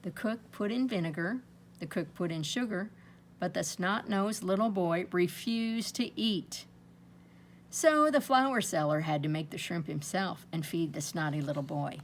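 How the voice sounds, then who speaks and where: A woman reading aloud to children in an expressive storytelling style, with dramatic pauses and drawn-out emphasis. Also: lowish voice, a little hiss or hum. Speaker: storybook reader.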